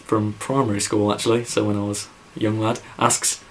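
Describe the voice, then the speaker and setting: A man's voice: lowish voice, level tone, normal speed. A young man from England, near Birmingham, with a mild Midlands accent, casually answering viewer questions in a rambling way full of fillers. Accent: English Midlands. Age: young adult. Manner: rambling, lots of fillers and "like", says so himself. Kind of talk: casual vlog Q&A.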